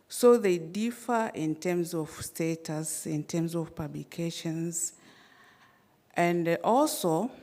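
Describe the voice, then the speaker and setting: Slow, low-voiced woman from Tanzania, first language Swahili; academic conference speaker, measured and hesitant, many fillers. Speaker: conference presenter. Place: conference hall.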